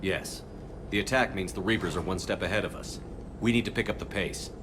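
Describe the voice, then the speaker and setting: An actor playing a resolute space military commander in a video game, serious, terse and decisive. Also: male voice, sits low, a little fast, background noise, lightly acted. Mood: resolute, urgent. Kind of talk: video game cutscene dialogue. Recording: long reverb, game music behind.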